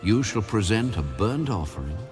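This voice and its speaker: Very deep-voiced man reading scripture over music. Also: very animated, moderate pace, darkish tone. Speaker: audio Bible narrator. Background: background music.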